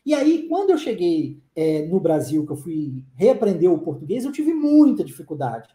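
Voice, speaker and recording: A man's voice: on the high side, sing-song, moderate pace, warm tone. Emphatic man teaching in an echoey room. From born in Brazil, raised in the United States. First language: English. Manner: emphatic and persuasive, repeats rhetorical questions. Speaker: English teacher. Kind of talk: live online lesson, talking to viewers.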